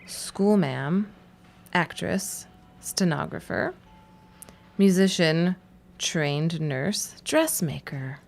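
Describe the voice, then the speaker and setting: Wry shopgirl daughter, 1910s radio play, lowish pitch, drily sarcastic. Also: animated, very slow, vocal fry, pauses often, heavily acted. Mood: playful, idle. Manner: dry, sarcastic. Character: wry, sarcastic grown daughter stuck in family store. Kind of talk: period audio drama acting.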